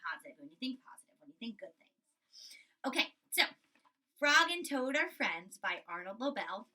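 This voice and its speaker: A woman's voice: on the high side, sing-song, moderate pace, pushed and bright, distant mic, poor audio. A woman teacher giving a reading lesson for young children, explaining things and often checking with a questioning tag. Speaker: elementary school teacher.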